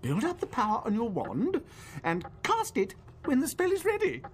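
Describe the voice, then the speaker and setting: A woman's voice: sits high, huge pitch swings, normal speed, a little hiss or hum. A woman playing a helpful bookshop keeper in a video game, with a theatrical, instructive manner. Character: helpful, chirpy bookshop keeper advising young wizards. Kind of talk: video game tutorial dialogue.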